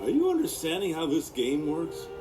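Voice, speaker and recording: An actor playing a big, excitable, good-hearted lug in an animated film, blunt and colloquial in his talk. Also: a little high, moderate pace, over loud music. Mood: awed, unsure.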